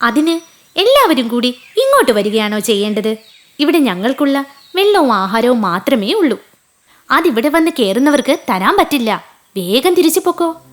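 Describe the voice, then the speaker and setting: Woman voicing a bossy, high-voiced cartoon fish. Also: sing-song, moderate pace. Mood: scolding. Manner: scolding, sarcastic. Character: bossy, sneering pond fish guarding her water. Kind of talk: children's cartoon story voice acting.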